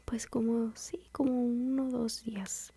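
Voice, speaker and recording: A woman with a Mexican accent whispering softly as she tells a personal story about motherhood, in a confiding, rambling way. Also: fairly high pitch, level tone, a little slow, husky. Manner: soft, confiding, rambling with fillers.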